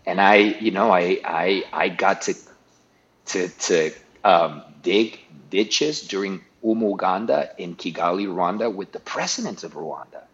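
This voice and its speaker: A man's voice: low-pitched, highs cut off. A middle-aged man from Guatemala, a guest talking casually in an interview, often restarting and repeating words.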